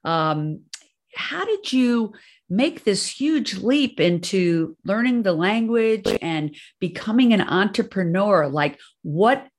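A woman's voice: medium pitch, animated, slow pace. A woman hosting a business podcast, talking in an upbeat, effusive way with frequent fillers. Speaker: podcast host. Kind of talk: scripted intro then interview chat.

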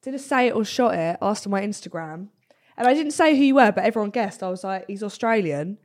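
A woman's voice: medium pitch, expressive, quick pace. Cheeky, sweary woman podcast host. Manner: cheeky, sweary, laughs often. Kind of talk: casual chat. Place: podcast studio.

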